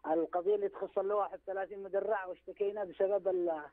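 Man phoning in, quick and halting, phone-line quality. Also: high voice, little pitch movement. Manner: hesitant, many fillers. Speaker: phone-in caller. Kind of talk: casual call-in chat.

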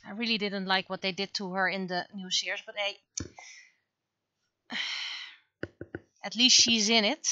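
Middle-aged female streamer, native Dutch speaker. Bright tone, rambling casual chat, slightly dull recording. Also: medium pitch, fairly even intonation, a little slow, pauses often, no room sound. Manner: rambling, thinking aloud. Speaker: streamer and webcomic artist. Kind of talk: casual livestream chat while drawing. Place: indoors at a computer.

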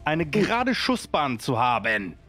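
Animated man chatting over background music. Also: on the high side, unhurried. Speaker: tabletop game player. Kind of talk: casual, halting game chat.

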